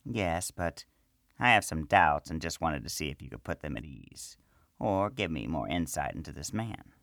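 A man voicing a gentle, polite male wizard in a storybook reading. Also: very deep voice, very animated, moderate pace, creaky, dry recording, studio quality, heavily acted.

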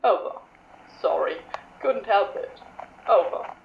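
A woman's voice: mid-range voice, lively intonation, slow pace, creaky, reverberant, highs cut off, a little hiss or hum, pitched below the performer's own voice, full character voice. A woman reading a story aloud and voicing a lazy, teasing male demon who drawls his words. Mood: sheepish. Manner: drawling, playful. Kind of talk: podfic character voice.

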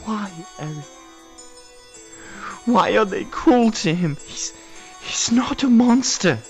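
A tender, frightened little prince from a fantasy audio drama acted by an adult. The boy speaks slowly, with wide swings of pitch and a loving, vulnerable manner. Faint music plays behind. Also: lively intonation, lo-fi sound. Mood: distraught, pleading.

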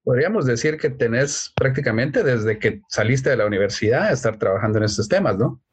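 A man's voice: mid-range voice, expressive, fairly quick. A man hosting a casual panel chat in a jovial, teasing way. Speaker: host.

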